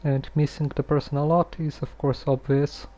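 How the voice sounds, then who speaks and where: A man giving casual game commentary as a streamer, musing aloud in a halting way. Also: fairly high pitch, level tone, noisy background.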